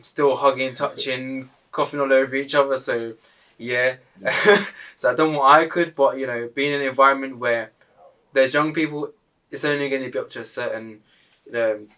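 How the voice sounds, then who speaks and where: A man's voice: medium pitch, bright, forceful tone. A man who works in a school, chatting casually in an interview with frequent hesitant fillers.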